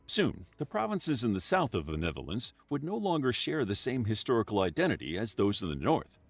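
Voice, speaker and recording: Man, documentary narrator, lively pitch, husky tone, dry sound, faint music underneath. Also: no room sound. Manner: even, measured reading.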